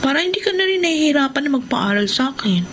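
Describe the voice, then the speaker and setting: A woman's voice: high voice, very animated, fast talker, noisy background. A woman playing a stubborn, wounded young daughter in a Filipino radio drama, speaking with insistent emotion. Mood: withdrawn, firm.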